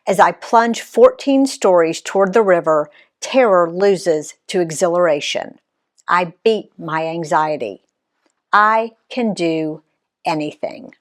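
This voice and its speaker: A woman reading her own travel story aloud in an expressive read-aloud style, giving the quoted people voices of their own. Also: lowish voice. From Alabama, USA. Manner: unhurried, lively reading that voices the quoted characters.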